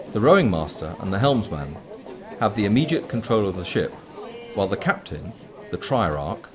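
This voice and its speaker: A man reading scripted documentary commentary in a measured, formal, explanatory manner. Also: on the low side. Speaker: documentary narrator.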